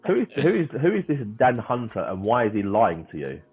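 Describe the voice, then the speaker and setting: A man in his thirties joining a podcast livestream as co-host, chatty and given to playful rants, with quick laughs. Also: medium pitch, wildly swooping pitch, a little mellow, husky, soft background music. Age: 30s. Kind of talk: casual livestream chat. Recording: tinny phone-like call audio.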